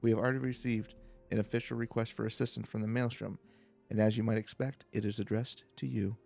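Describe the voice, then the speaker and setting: A man reading game dialogue aloud in a relaxed let's play style, now and then tripping over a phrase. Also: lowish voice, quick pace, vocal fry, faint music behind. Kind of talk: reading game dialogue aloud in a calm, slow-paced playthrough.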